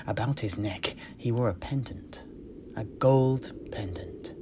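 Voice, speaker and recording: A man playing a tavern keeper priest in a fantasy tale, recounting his adventures with deliberate, theatrical phrasing and hushed emphases. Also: medium pitch, background noise, close to an everyday voice. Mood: grave, suspenseful. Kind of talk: in-character fantasy storytelling. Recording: music behind the voice. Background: plucked guitar music.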